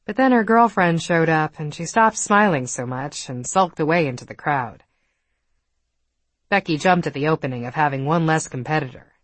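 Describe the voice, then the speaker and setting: A woman narrates an audiobook novel. Her voice sits a little low and moves with lively intonation. The sound is very dry, like a studio booth. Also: normal speed, no room sound. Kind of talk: scripted fiction narration.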